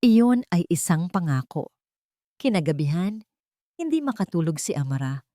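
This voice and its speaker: A woman with a Filipino accent narrating an audio drama in a measured, suspenseful storytelling style. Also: lowish voice, big pitch swings, warm tone, no room sound, studio quality.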